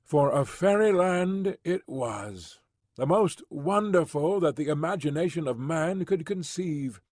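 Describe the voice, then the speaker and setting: Husky male audiobook narrator. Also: fairly high pitch, lively intonation, unhurried, darkish tone, no echo, clean studio sound. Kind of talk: scripted narration.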